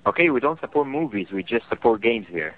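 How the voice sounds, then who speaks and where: A man's voice: medium pitch, sing-song, a little fast. A man working as a customer support agent, talking formally and patiently over a telephone. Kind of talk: polite scripted phone service talk. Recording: thin, noisy telephone line.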